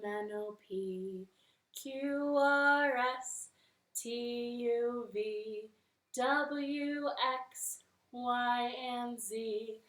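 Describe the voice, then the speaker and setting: A woman's voice: fairly high pitch, reverberant. A woman hosting a library storytime, reading to children in a cheerful, sing-song way from her living room.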